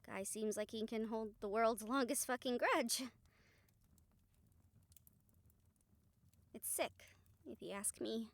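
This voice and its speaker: A woman's voice: fairly high pitch, moderate pace, no room sound, natural voice. A woman playing a wry, caring young woman friend in a fantasy audio roleplay, speaking casually and hesitantly, as if to one listener. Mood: wry, musing. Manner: casual, hesitant, chuckling, mildly sweary. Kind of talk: audio roleplay acting, intimate one-sided conversation with listener.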